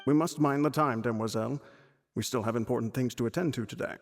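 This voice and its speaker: An actor playing a calm, proper male attendant who speaks politely and steadily. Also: mid-range voice, fast, long reverb tail, faint music behind, natural voice.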